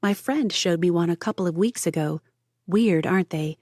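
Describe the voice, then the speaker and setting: A woman voicing a chatty young American woman in an audiobook, lively and quick to tease. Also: fairly low pitch, no room sound, clean studio sound, close to an everyday voice. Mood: teasing. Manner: lively, teasing, quick to banter. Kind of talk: audiobook character voice.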